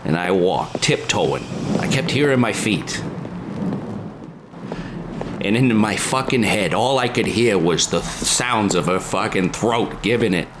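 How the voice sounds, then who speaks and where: A man's voice: lowish voice, lively intonation, unhurried, noisy background. A man playing a crude, vengeful jilted man who narrates his own tale melodramatically in a parody radio drama. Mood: bitter, brooding. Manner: profane, melodramatic. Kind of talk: improvised parody of old time radio drama.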